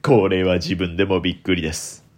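A young man hosting a solo radio talk in a deliberately put-on deep, velvety voice, playful and self-mocking. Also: little pitch movement.

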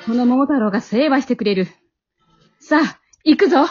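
A woman's voice: high voice, animated, moderate pace, darkish tone, soft background music. A woman playing an earnest, heroic boy warrior in an amateur radio drama, with declamatory delivery and muffled home-recorded sound. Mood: heroic, resolute.

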